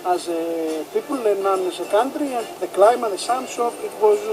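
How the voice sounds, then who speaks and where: A woman answering an interview question in halting English, pausing to search for words. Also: mid-range voice, lively intonation, heavy background noise. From Greece.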